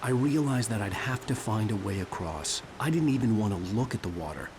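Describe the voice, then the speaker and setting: An actor playing a troubled novelist, narrating his own thriller ordeal in a brooding, measured way. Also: fairly low pitch, lively intonation, noisy background. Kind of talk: scripted first-person game narration.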